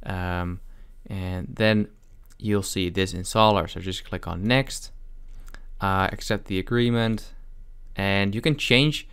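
A man narrating a casual software tutorial step by step, in an informal, hesitant manner with a clear, smooth voice. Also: fairly low pitch. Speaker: tutorial host.

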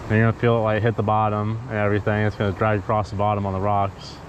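A man's voice: lowish voice, fast talker, highs cut off. Young man vlogging from a paddle board. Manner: relaxed, chatty, coaching a friend. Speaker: fishing vlogger. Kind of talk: casual outdoor vlog. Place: river outdoors on a paddle board. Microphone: action camera on the board. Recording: outdoor camera audio with water noise. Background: flowing water.

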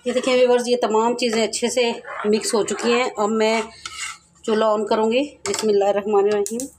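A woman's voice: fairly high pitch, level tone, fairly quick, background noise. A woman hosting a home cooking channel, talking her viewers through a recipe in a chatty, repetitive way while she cooks in her kitchen. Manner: chatty, repeats herself, addresses viewers directly. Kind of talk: home cooking tutorial, casual explaining. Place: home kitchen, over a stovetop.

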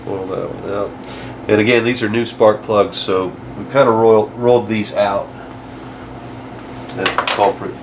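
A man giving a casual, plain-spoken, halting hands-on demonstration as a DIY car repair host, working over an engine bay. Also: lowish voice, fairly even intonation. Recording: clear engine-bay noise and clatter.

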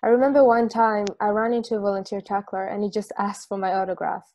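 A woman reading the part of a football player on the run who explains things calmly and plainly, in a video-call table read. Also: mid-range voice, quick pace, close to an everyday voice.

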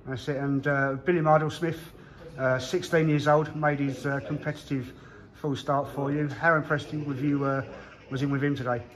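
A man asking casual, halting post-match questions as a sports interviewer. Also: a little high, little pitch movement, crowd noise, low-quality recording.

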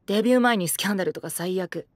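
A man's voice: high voice, rapid-fire, no echo, lightly acted. A man playing a bitter, self-deprecating teenage boy idol and former child star in a Japanese audio drama. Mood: flippant, sulky. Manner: cheeky, self-deprecating, theatrical.

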